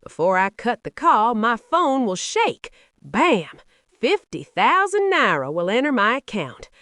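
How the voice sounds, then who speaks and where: Nigerian lady narrating a folktale, lively pitch, dramatic, dry close sound. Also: a little slow, dry recording. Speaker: storyteller. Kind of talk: scripted first-person folktale narration.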